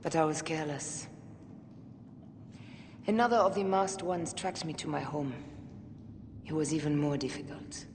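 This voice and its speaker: A woman voicing a fierce but loving assassin and avenging wife in a video game cutscene, with an intense, measured delivery. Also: sits low. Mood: grim. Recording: reverberant hall, loud ambient noise.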